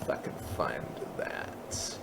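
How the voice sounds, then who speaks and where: A man presenting an unscripted software tutorial, explaining step by step and thinking aloud. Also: slow pace, in a whisper. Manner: explanatory, thinking aloud, openly unsure at times.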